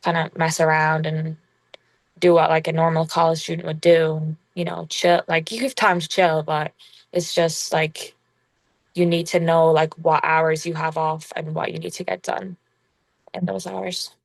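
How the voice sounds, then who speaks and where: A young woman from Ireland, a college basketball player, talking casually in an interview with an Irish accent gone strongly American, halting and full of fillers. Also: sits low, vocal fry, no room sound. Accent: Irish with a strong American tinge. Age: young adult. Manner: relaxed, halting, full of "like" fillers, laughs often.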